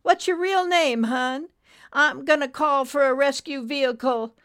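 A woman voicing a motherly, folksy nurse talking briskly over a trucker radio in an audiobook story. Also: high voice, fairly bright tone, dry recording, clean studio sound, pitched above the performer's own voice. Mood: brisk concern.

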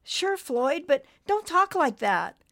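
A woman's voice: high-pitched, sing-song, slow pace, creaky, a bit bright, voice pushed up, full character voice. A woman voicing a small, innocent, loyal male creature who speaks earnestly and pleadingly in an audiobook story. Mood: worried.

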